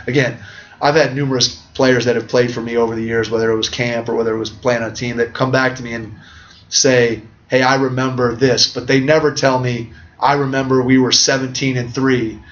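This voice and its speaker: A middle-aged man hosting a podcast interview, talking reflectively in long, winding sentences. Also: mid-range voice. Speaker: podcast host. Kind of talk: conversational interview. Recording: dull lo-fi audio.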